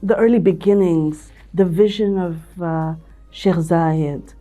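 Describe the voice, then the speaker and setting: A woman's voice: on the low side, slow pace, dark tone, soft background music. An older woman from Ethiopia, a company CEO, telling her life story in an interview with many restarts and repeated words. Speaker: CEO and managing director, interview guest.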